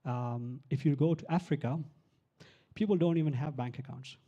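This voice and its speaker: A man's voice: mid-range voice, moderate pace, dark tone, muffled recording. A man from Sri Lanka giving a live conference talk about his technology, explaining step by step with many fillers and restarts. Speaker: tech founder presenting.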